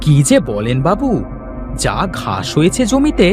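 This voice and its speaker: A man's voice: fairly high pitch, wildly swooping pitch, unhurried, music in the background. A man voicing a stubborn, plain-spoken farm labourer who respectfully protests to his employer in a cartoon folk tale. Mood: placating.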